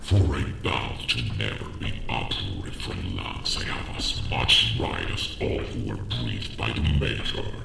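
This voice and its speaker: An extremely deep, heavily creaking dragon, speaks slowly and with grand menace. The voice is bitter and vengeful, echoing strongly as in fantasy audio drama. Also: male voice, heavily acted. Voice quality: deep gravelly growl. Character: vengeful, embittered ancient male dragon. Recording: roomy, echoing, distant voice.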